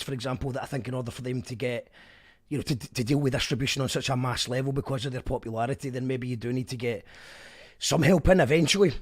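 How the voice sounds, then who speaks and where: A man, a radio host and band musician chatting casually in an animated, rambling way, often correcting himself. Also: mid-range voice, husky. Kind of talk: casual chat.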